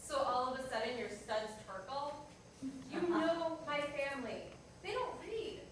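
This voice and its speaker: A bitter, jilted diner manager, played by a woman in a stage play, speaks in a high voice with curt sarcasm and accusation. She is heard from far off in a very echoey room. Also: expressive, unhurried, a little hiss or hum. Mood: angry, indignant. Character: bitter jilted woman coffee-shop manager in 1976 New York. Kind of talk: staged reader's theater dialogue. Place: indoor performance room, distant microphone.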